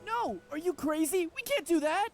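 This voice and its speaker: An excitable teenage boy acted by a man with dramatic swings in his voice and a slangy, jokey slacker manner. He speaks as a visual novel buddy over clear background music. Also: very high voice, moderate pace, voice pushed up. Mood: horrified. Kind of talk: visual novel character dialogue.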